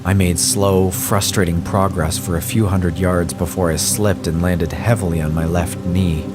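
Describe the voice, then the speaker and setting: A man reading a scripted first-person horror story in a measured, grave way. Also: low-pitched, flat delivery. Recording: music bed under the voice.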